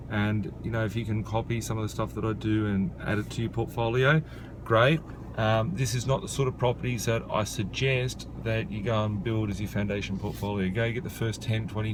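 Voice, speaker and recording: A man in his thirties from Australia, a property investor talking casually to camera outdoors, with many "uh" and "you know" fillers. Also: fairly low pitch. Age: 30s. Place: outdoors beside a rural property. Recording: outdoor noise with traffic.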